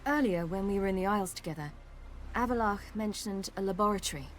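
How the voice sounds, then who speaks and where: A woman's voice: mid-range voice, fast talker, a little hiss or hum, natural voice. An actress playing an earnest, uneasy young warrior woman in a video game cutscene.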